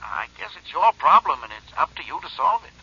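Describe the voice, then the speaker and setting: An actor playing a hesitant, apologetic creditor executive in a 1940s radio drama, often stammering. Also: male voice, fairly high pitch, sing-song, brisk, sharp tone, husky, heavily acted. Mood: uneasy. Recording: dull lo-fi 1940s radio broadcast.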